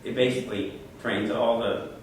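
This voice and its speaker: A man's voice: fairly low pitch, fairly even intonation, moderate pace, slight background noise. A man presenting tech tips at a user group meeting, talking conversationally and answering questions, often repeating words as he goes. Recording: echoey room, mic far off.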